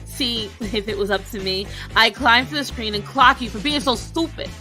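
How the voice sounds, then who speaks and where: A woman's voice: on the high side, normal speed, sharp tone, soft background music. A woman voicing a brash, foul-mouthed, confrontational young woman with bossy sass, bantering in character in a live Q&A. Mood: brash, taunting. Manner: confrontational, sassy, swearing, slangy.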